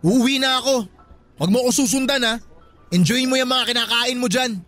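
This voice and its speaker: A sarcastic, moody boyfriend. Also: male voice, very high-pitched, fairly quick, fairly bright tone, faint music behind. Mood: irritated. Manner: mocking, mimics her words.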